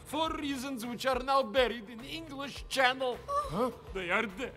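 An actor voicing a gloating, pompous middle-aged cartoon villain with a Russian accent and boastful broken English. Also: male voice, very high voice, lively intonation, a little slow, sharp tone, full character voice.

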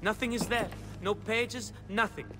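An actor playing a frustrated, excitable young artist's assistant in a video game. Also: male voice, high voice, unhurried. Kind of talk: video game cutscene acting. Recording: music behind the dialogue.

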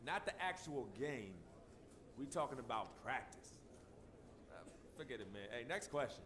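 A man's voice: medium pitch, lively intonation, normal speed, noisy background, natural voice. Man playing a brash young basketball star. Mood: incredulous, mocking. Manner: brash, dismissive, slangy. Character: cocky young pro basketball star at press conference. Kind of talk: acted press conference answers for sports game.

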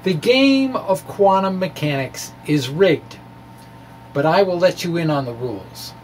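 Male, middle-aged, higher register. Theatrical science-blog reader, emphatic, ad-libs. Slightly noisy home recording. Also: expressive, unhurried. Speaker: science video blogger reading his own blog aloud. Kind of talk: dramatic reading with ad-libbed asides. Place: small indoor room with bookshelf. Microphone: microphone on stand in front of him.

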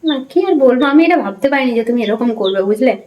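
A woman's voice: on the high side, lively intonation, racing, darkish tone, a little hiss or hum. A young woman, in a confrontational phone conversation, accusing and insistent and often pressing for agreement. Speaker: prank caller.

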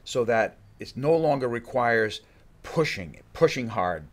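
A man's voice: medium pitch, animated. An older man, a fight coach, talking in a podcast studio and often restarting his sentences. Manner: halting, many restarts, acts out fighters' thoughts. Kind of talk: casual interview talk. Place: studio room with bookshelves. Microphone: studio mic on a boom arm.

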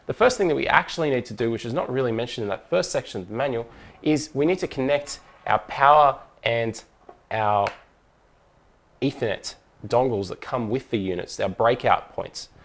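A man's voice: mid-range voice, gravelly. A man presenting a hands-on product tutorial in an informal, methodical, step-by-step way.

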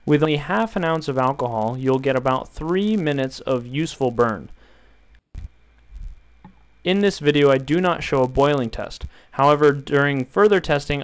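A young man calmly walking viewers through a build, step by step, in an instructional voice-over. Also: medium pitch, smooth. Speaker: DIY tutorial maker.

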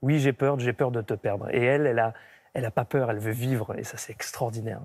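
A man's voice: medium pitch, fairly even intonation, fairly quick, a little mellow. A man in his thirties, an opera tenor, answering questions reflectively in a TV studio interview, often restarting his sentences. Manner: thoughtful, reflective, with frequent restarts. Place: TV studio set. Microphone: broadcast mic, medium shot.

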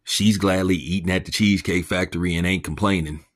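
A man's voice: fairly even intonation, fairly quick, fairly bright tone. A man hosting a commentary channel, ranting in a blunt, mocking and crude way, his voice jumping up when he is incredulous. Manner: pitch jumps up when incredulous.

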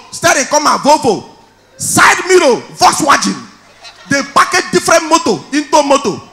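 A man in his thirties with a Nigerian accent, performing stand-up on stage with a handheld mic, telling stories loudly and shouting his punchlines. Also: very high-pitched, gravelly. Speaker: stand-up comedian. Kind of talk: stand-up comedy in Pidgin. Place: large indoor event hall on stage.

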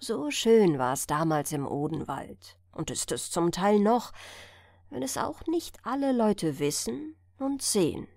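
A woman narrating a fairy tale as a bedtime audiobook, in a measured storytelling manner. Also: mid-range voice, sing-song, gravelly, a little mellow, no room sound, studio quality.

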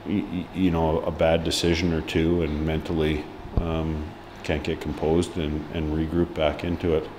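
A man's voice: a bass voice, little pitch movement, normal speed, few pauses, noisy background. A man in his forties, a hockey head coach, giving a frank post-match interview full of ums and restarts. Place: indoor press room before a sponsor board.

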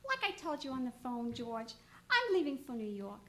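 A woman's voice: high-pitched, very animated, moderate pace, fairly bright tone. An actress playing a worldly young woman leaving town, wry and pleading.

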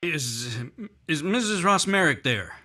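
A man's voice: on the high side, sing-song, slow pace, pushed and bright, heavily acted. An actor playing a guarded man who has killed, speaking tensely and defensively in a radio-drama recreation. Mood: nervous. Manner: clipped, defensive, hesitant on the phone. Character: tense, guarded male murderer on the run.

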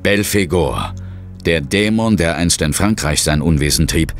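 An actor playing a steady, reassuring ghost hunter in a horror audio drama. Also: male voice, sits low, a little slow, faint music behind. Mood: grave. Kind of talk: German horror audio drama, dialogue and first-person narration.

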